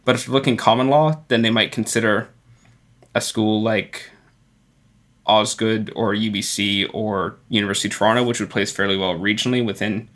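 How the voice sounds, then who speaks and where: A man appearing as a podcast guest, giving measured admissions advice in a casual, somewhat halting way. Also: on the low side, steady tone, fairly bright tone. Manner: measured, advisory, hesitant restarts. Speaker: guest advisor.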